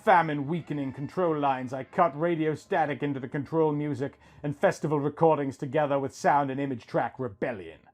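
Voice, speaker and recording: A man reading a scripted first-person story as a dramatic narrator, in a theatrical, deliberate manner. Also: a little high, a little mellow, faint music behind.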